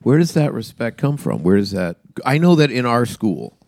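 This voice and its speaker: A man's voice: medium pitch, sing-song, normal speed, creaky, a little mellow, no echo. A man interviewing someone in a casual chat, informal and blunt in the way he talks.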